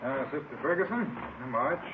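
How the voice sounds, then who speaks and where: A man's voice: fairly high pitch, expressive, normal speed. An actor playing a pious, folksy mission preacher who is protective of his helper, in 1950s radio drama style. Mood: fervent preaching. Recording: muffled vintage radio recording, faint music.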